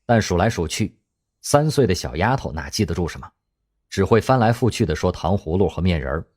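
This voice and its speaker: A man narrating an audio drama, telling the story expressively in a husky voice. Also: low voice, big pitch swings, fairly quick, darkish tone.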